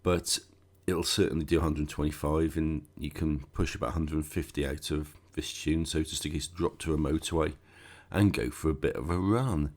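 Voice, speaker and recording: A man hosting a gaming channel, croaky and stuffed up with a cold, chatting casually through a game walkthrough with sarcastic asides. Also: very deep voice, steady tone, moderate pace, few pauses, darkish tone.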